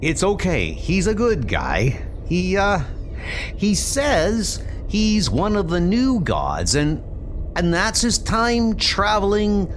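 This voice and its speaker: A man's voice: sits high, huge pitch swings, slow pace, slight background noise, pitched above the performer's own voice, heavily acted. A man voicing a young, chatty, rattled superhero in a comic book dub, speaking casually and hesitantly. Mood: nervous, awed.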